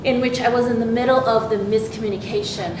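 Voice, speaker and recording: A woman's voice: steady tone, fast talker. A woman from Brazil speaking as a panelist, hesitant with many fillers and restarts. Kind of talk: panel discussion remarks. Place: large university event hall. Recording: echoey hall, distant mic, background rumble.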